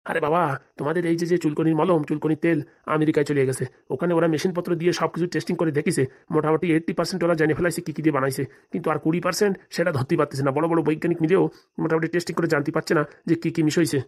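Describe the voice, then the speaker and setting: Woman playing low, rapid-talking male schemer. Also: steady tone, a little mellow. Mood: boastful. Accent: rural Bengali. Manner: persuasive, boastful. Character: smooth-talking scheming male election fixer. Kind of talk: comedy cartoon dialogue.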